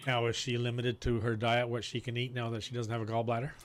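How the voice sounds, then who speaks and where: A man's voice: medium pitch, monotone, quick pace, a little hiss or hum. A man from Canada chatting casually and teasingly as a radio operator who live streams. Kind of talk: casual chat.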